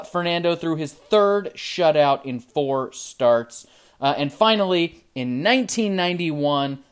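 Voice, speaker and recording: A man hosting a casual card-opening video at his desk, chatting excitedly, then trailing off quietly as he looks over each card. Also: on the high side, smooth. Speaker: trading card channel host. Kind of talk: casual pack-opening commentary. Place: indoor desk with card display. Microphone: camera over the desk, hands only in frame.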